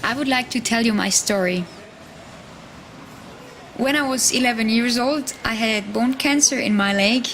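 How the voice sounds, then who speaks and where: Young Swiss woman, gentle halting narration. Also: fairly high pitch, roomy sound, distant mic, a little hiss or hum, rough recording. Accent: Swiss German. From Switzerland. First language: Swiss German. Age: 20s. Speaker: documentary subject. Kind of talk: personal documentary narration.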